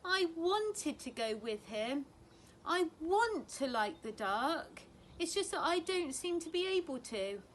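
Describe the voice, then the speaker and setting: A woman's voice: high-pitched, very animated, slow, a little hiss or hum, pitched above the performer's own voice, full character voice. A woman reading a storybook, voicing a small young male barn owl in a childlike, plaintive way.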